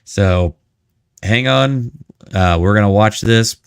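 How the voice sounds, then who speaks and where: A man's voice: lowish voice, slow pace, gravelly, clear, resonant tone, dry recording, clean studio sound. A man hosting a live stream with casual, joking commentary and asides to his chat.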